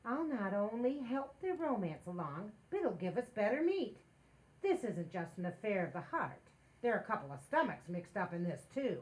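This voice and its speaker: A woman's voice: mid-range voice, big pitch swings, moderate pace, slight background noise, close to an everyday voice, rough recording. A woman playing a playful, meddling married housewife in 1940s radio comedy style, with an emphatic theatrical delivery. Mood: breezy, persuasive.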